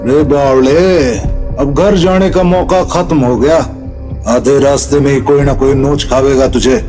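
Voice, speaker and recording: A man playing a cynical, rough-mannered villager in an audio drama, with a sneering, impatient manner and rural Haryanvi-Rajasthani Hindi accent. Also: medium pitch, steady tone, unhurried, music in the background, lightly acted. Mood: ominous.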